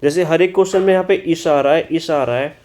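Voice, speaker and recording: A man's voice: a little high, a little mellow. A man teaching German in Hindi, going through an exam paper and scolding students for common mistakes. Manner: spells out German words slowly. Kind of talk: exam-solution lesson in Hindi.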